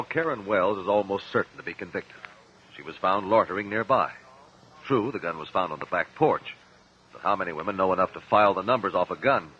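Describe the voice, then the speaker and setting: A composed, persistent lawyer played by a man in an old-time radio courtroom drama. His questioning is measured but moves expressively up and down in pitch. The recording is dull, with some noise under it. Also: lightly acted. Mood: earnest. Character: composed, probing attorney questioning witnesses.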